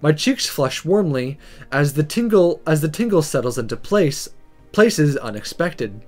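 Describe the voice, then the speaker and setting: A man hosts a let's play of a visual novel, reading aloud and commenting. His voice is fairly high and moves widely in pitch, with an expressive, theatrical delivery. Faint music plays behind him. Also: measured pace.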